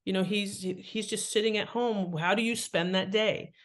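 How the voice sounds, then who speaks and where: A woman's voice: mid-range voice, fairly even intonation, normal speed. A woman talks as an expert guest on an interview show. She explains things conversationally, like someone giving practical advice, and leans on her key words. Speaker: guest author and writing expert.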